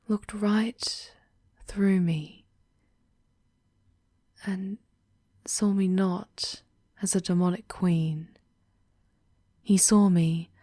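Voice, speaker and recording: Woman playing a slow, hushed, regal demon queen. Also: lowish voice, no echo, studio quality. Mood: wistful, hushed. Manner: soft, breathy, slow and deliberate, often dropping to whispers; formal, archaic theatrical diction. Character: regal, world-weary demon queen guiding a lost wanderer. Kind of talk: ASMR roleplay monologue, scripted.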